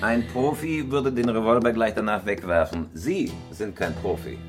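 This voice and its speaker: A man plays a hard professional criminal in a radio crime drama. The voice is very deep and gravelly, the delivery terse, controlled and commanding, over clear background music. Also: moderate pace, natural voice. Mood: hard, insistent. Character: Parker, cold, terse professional male criminal planning robberies.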